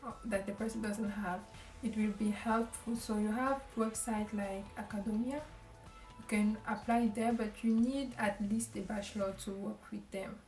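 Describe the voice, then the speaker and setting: A woman's voice: mid-range voice, fairly even intonation, a little mellow, echoey room, soft background music. Young cameroonian woman vlogging, lots of ums, bit far off the mic. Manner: halting, many ums and restarts. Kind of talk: casual advice talk to camera.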